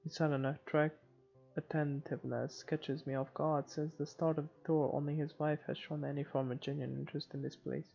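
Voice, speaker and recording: Gravelly-voiced man reading a game story aloud. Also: a little high, flat delivery, moderate pace, a little mellow. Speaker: video game let's player. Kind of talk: reading visual novel narration aloud. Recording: slightly muffled, music underneath.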